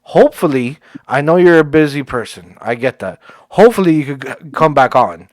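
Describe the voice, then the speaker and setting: A man's voice: fairly high pitch, expressive, fairly quick, no echo. A man hosting a podcast, talking casually and thanking his guest effusively, laughing often. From Cuban heritage. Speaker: podcast host.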